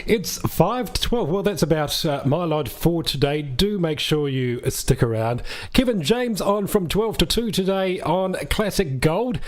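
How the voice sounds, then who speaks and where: A man's voice: on the high side, lively intonation, measured pace. A New Zealand man presenting a music radio show with friendly, chatty DJ patter. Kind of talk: upbeat music-radio DJ links. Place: radio studio. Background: music under the voice.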